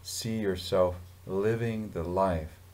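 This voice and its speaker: A man with a very low voice guides a meditation session as a yoga teacher. He speaks very slowly and soothingly, leaving long pauses between short phrases. His delivery stays calm and unhurried. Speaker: yoga nidra meditation instructor.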